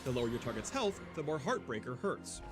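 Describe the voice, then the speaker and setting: A man's voice: medium pitch, big pitch swings, a little fast. A man reading scripted promotional narration for a video game guide, with a punchy, dramatic delivery. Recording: music under the voice. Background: music.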